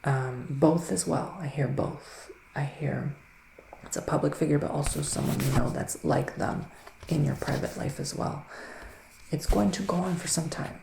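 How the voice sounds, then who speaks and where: A man doing a soft-spoken, partly whispered ASMR roleplay as a psychic witch of the woods, with mystical, theatrical phrasing. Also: on the high side, gravelly. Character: psychic male witch living in the woods, giving readings. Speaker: ASMR performer playing a psychic reader. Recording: roomy echo with faint background noise.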